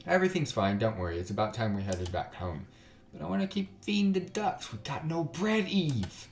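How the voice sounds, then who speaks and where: A man voicing a calm young woman from a visual novel, reading her lines in a raised voice. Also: mid-range voice, moderate pace, reverberant, a little hiss or hum, voice pushed up. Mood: reassuring.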